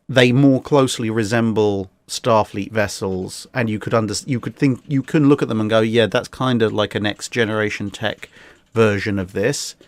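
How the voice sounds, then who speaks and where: A man with a fairly low voice in a dry, close recording. He talks casually but analytically, weighing points in a measured way as he gives his opinion. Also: no room sound. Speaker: guest commentator. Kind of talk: casual discussion.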